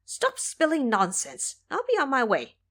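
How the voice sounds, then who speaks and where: Cocky male monkey disciple played by a woman, bossy and scolding, lifted pitch with lively swings, for an audio drama. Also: unhurried, heavily acted. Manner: scolding, bossy, self-assured.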